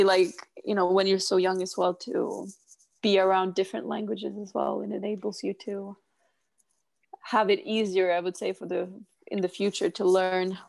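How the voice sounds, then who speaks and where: A woman's voice: medium pitch, vocal fry, a little mellow. A young woman whose first language is Swedish, a chef chatting as an interview guest in a hesitant way with many fillers. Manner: hesitant, frequent "you know" fillers. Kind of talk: casual interview chat.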